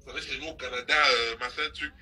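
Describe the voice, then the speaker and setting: A woman's voice: extremely low pitch, steady tone, fast talker, heavy background noise. A woman hosting a live stream, scolding and mocking someone in an insistent, repetitive gossip rant. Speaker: social media live host.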